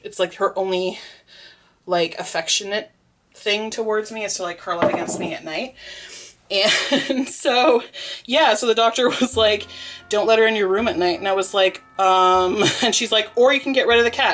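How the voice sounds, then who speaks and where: A chatty young woman with a sniffly, congested nose talks at an ordinary mid pitch as she works on a soap batch. She rambles casually with plenty of fillers and frequent little laughs. Faint music sits in the background. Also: normal speed, fairly bright tone. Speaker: soap maker. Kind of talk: casual vlog narration while working.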